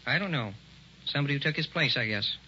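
A man's voice: medium pitch, animated, fast talker, natural voice. An actor playing a meek, matter-of-fact little man with ominous news, hesitant, in old-time radio horror. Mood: cautious. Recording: noisy muffled vintage radio recording.

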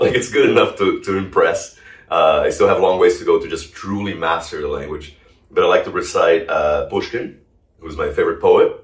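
Interview guest: man, thirties, from Brazil, native Portuguese speaker, deep-pitched, casual storyteller, echoey room recording. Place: indoor living room, on a sofa. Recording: roomy, distant microphone.

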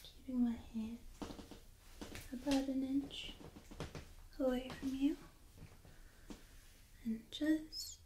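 Very slow, hushed ASMR woman. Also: sits high, fairly even intonation, slight background noise. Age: young adult. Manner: slow, soothing, hushed guiding. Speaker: ASMR performer playing a Reiki practitioner. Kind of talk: soft-spoken, whispery ASMR role play. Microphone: close binaural microphone.

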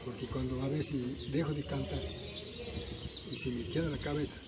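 A man in his sixties from the Calchaquí Valleys of Argentina, speaking as a folk singer being interviewed. He talks in halting, repeated phrases in a plain way. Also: medium pitch, fairly even intonation. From Calchaquí Valleys, northwest Argentina. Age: 60s. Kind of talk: casual interview answers. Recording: loud background noise outdoors.

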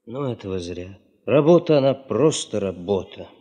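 An actor playing a modest, reserved geologist with a restrained delivery in a 1970s Soviet radio play. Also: male voice, on the low side, expressive, normal speed, dark tone, husky, in a large hall. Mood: subdued, modest. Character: reserved, modest geologist man returning home.

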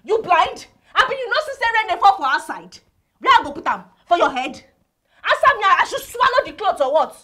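A woman playing a brash Nigerian loudmouth. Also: sits high, sing-song, unhurried, heavily acted. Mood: scolding. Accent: Nigerian, Pidgin English. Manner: confrontational, mocking, speaks in Pidgin English. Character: brash, loud-mouthed young woman visitor, quarrelsome.

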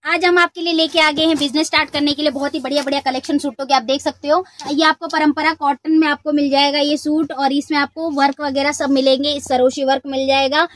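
A woman's voice: high-pitched, little pitch movement, brisk, hardly any pauses, fairly bright tone, soft background music. A woman hosting a shop video who shows suits one after another and talks in a chatty, repetitive sales patter. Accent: Hindi speaker from North India. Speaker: shop video host showing wholesale suits. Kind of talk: product showcase sales pitch.

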